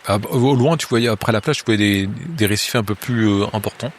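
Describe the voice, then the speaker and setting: A man running a tabletop horror game as game master, narrating scenes to a player in a halting way with many hesitations. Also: on the low side, a little hiss or hum. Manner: hesitant, many filler sounds and restarts; second-person scene narration.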